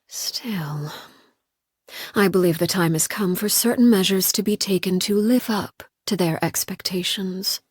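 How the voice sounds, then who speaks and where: An actress playing a cold, composed, menacing female commander in a video game, speaking in a measured, formal, politely threatening way with a breathy voice. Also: lowish voice, dry recording, clean studio sound. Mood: quietly menacing. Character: cold, composed, menacing woman commander called Father by orphans. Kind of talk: scripted video game character voice lines.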